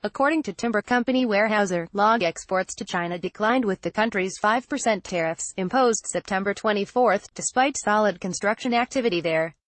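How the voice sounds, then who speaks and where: A woman reads scripted financial news in a formal, measured way. Her voice sits at a middle pitch. The sound is very dry, with no room around it. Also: no room sound. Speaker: narrator reading a financial news article.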